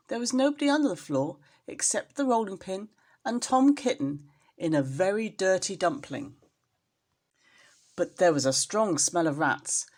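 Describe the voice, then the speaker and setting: A woman storyteller reading a children's storybook expressively, as if to a young listener. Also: medium pitch.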